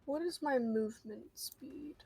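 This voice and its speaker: A woman's voice: high-pitched, unhurried, a little mellow, breathy edge, no echo. A woman chatting casually and playfully as a player in a tabletop roleplay game.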